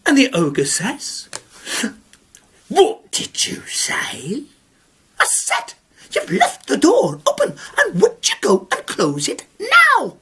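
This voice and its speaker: A woman voicing a bold little old lady who scolds and questions in a high, indignant voice, told live to an audience. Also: wildly swooping pitch, takes it slowly, a bit bright, full character voice. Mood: scolding. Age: older. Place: live storytelling session with an audience.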